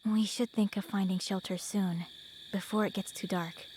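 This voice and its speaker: A woman's voice: mid-range voice, steady tone, normal speed, a little mellow, a little hiss or hum, close to an everyday voice. A woman playing a sensible, concerned young companion in a fantasy visual novel, with crickets and owls behind her. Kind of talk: dramatic visual novel voice acting.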